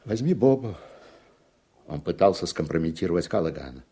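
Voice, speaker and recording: An actor playing a weary, thoughtful older judge in a radio play, speaking in a reflective, deliberate way. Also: male voice, sits low, big pitch swings, warm tone, husky, heavily acted. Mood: troubled, reflective. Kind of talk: Russian radio play acting.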